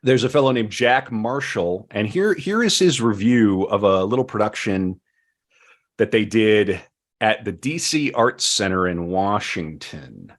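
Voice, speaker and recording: Low-voiced male podcast host. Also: animated. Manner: wry, joking, with halting pauses. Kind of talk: casual podcast talk.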